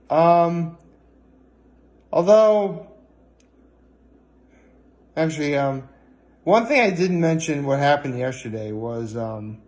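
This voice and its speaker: Male vlogger, pitched a bit high, conversational and hesitant, wandering delivery with halting pauses. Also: darkish tone. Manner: hesitant and rambling, with frequent pauses and fillers. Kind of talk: casual vlog talk.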